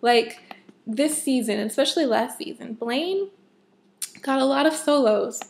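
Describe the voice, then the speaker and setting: A young adult woman with a slightly hoarse, husky voice, giving a casual, emphatic rant as a vlogger. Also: high-pitched, unhurried. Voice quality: a bit husky. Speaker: vlogger, fan of a TV show.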